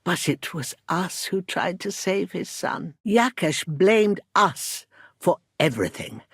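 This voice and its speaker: A middle-aged village midwife in a medieval video game, weary and embittered yet fiercely protective of her own. Her delivery is earnest and emphatic, with a very lively melody. The recording is dry, with no room around the voice. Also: on the low side, slow pace, slightly rough, no room sound, exaggerated voice. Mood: bitter, grieving. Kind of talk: dramatic game dialogue acting.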